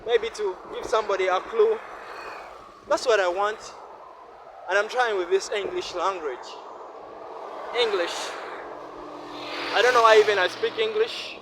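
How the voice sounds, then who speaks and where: A man's voice: sits high, steady tone, unhurried, pauses often. A man from Uganda whose first language is Luganda, talking in an earnest, defiant and repetitive vlog monologue while filming on a city street. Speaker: vlogger. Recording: street noise behind.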